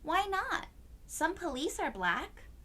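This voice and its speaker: A woman's voice: extremely high pitch, big pitch swings, slow, bright, forceful tone, pitched above the performer's own voice, heavily acted. A woman voicing a puzzled young boy in a children's storybook, asking direct questions. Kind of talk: children's storybook read-aloud.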